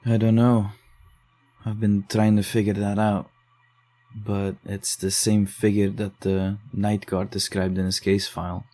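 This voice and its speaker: Guy playing jumpy young dude in a horror audio drama, low mellow voice, kinda defensive. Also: completely flat delivery, lightly acted. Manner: defensive, uneasy, hurried. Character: shaken young man investigating case files. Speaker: narrator and lead character.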